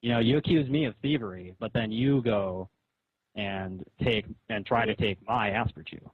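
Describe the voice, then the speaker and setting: A man with a rough, husky voice of average pitch argues over the phone. He is insistent and questioning. The line is thin and narrow, with a very dry sound. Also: moderate pace, no echo. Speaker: comic artist on a phone call. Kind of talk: argumentative phone conversation. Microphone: phone call.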